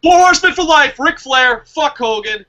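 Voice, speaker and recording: A man's voice: very high voice, expressive, moderate pace, bright, forceful tone. An American man chatting casually and emphatically about his opinions as a review show host, swearing freely. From New Jersey, United States.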